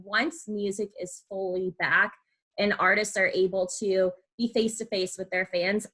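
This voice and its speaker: A woman's voice: medium pitch, fairly bright tone. A young adult woman hosting a casual interview, asking questions in an informal, chatty way. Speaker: podcast host, interviewer.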